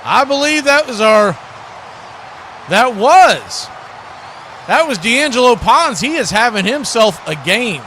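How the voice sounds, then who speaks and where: An American man giving live football play-by-play in short clipped phrases that build with excitement. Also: very high-pitched, slow pace, pauses often, a little hiss or hum. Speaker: play-by-play announcer.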